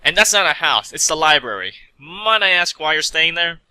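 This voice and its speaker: A man's voice: on the high side, big pitch swings, normal speed, bright, forceful tone, clear, resonant tone, no room sound, full character voice, poor audio. A man voicing an elegant, haughty female pony seamstress in a prim, businesslike manner for a fan story reading. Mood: prim, brisk.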